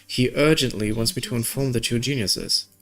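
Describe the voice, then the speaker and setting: Low-voiced man reading game story aloud. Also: moderate pace, a bit bright. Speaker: gaming video creator. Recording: music behind the voice.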